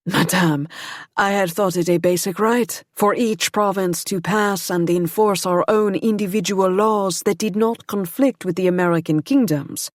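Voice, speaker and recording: A woman putting on a suave French-accented nobleman who needles with polite mockery, pitched up and ringing out over her dry narration. The recording is close and dry. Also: unhurried, no room sound, natural voice. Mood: ironic. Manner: cool, mocking courtesy.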